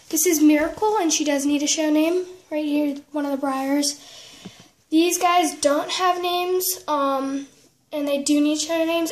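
A woman giving a casual show-and-tell narration in a halting way, often trailing off and correcting herself. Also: high voice. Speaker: vlogger giving a tour of a toy horse barn. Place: indoors at home, room with wooden floor. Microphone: handheld camera, speaker behind it.

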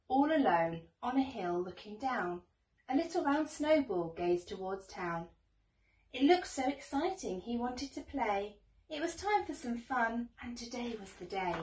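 High-voiced young woman reading, roomy and distant. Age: young adult. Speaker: teacher reading a children's story. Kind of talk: read-aloud bedtime storytelling in rhyme. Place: small bedroom, indoors. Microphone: camera a few metres away. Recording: roomy echo, distant microphone.

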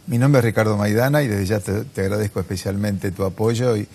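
A businessman guest in a television studio chair, Argentine accent, husky and creaky, thinking aloud and checking that you agree. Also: lowish voice, fairly quick, a little mellow. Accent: Argentine Spanish. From Chacabuco, Argentina. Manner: hesitant, reflective, seeks agreement with tag questions. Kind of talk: conversational interview answers. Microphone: lapel.

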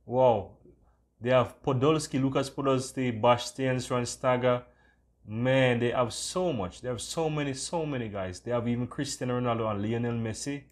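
A man's voice: mid-range voice, normal speed. A man hosting a casual screen-recorded tutorial, talking in an enthusiastic, repetitive way. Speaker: tutorial host.

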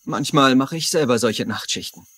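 An actor playing an ambitious, smooth-talking politician in a dubbed TV mystery, with a husky voice and persuasive manner. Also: male voice, mid-range voice, moderate pace, a bit bright, natural voice. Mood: casual, interested. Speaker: actor in a German dub.

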